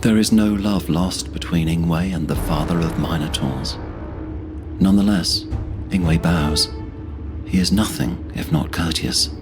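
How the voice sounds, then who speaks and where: A man narrating scripted fantasy as a storyteller in a solemn, measured manner. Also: low-pitched, music in the background.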